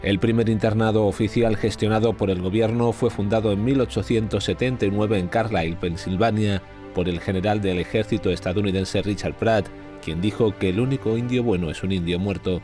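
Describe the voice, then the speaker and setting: A male news reporter reads a scripted voice-over in a measured, even way. His voice sits a little low. Clear music runs beneath the narration throughout.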